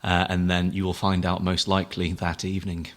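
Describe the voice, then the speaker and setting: A man on a musical theatre production team, answering interview questions in a measured, thoughtful way. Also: low voice, monotone, fairly bright tone.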